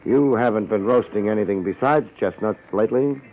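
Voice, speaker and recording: An actor playing a cool, wisecracking hardboiled private detective, wry and laconic, in a 1950s radio drama. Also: male voice, fairly low pitch, brisk, a little mellow, a little hiss or hum, close to an everyday voice. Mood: cool needling. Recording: muffled old radio recording.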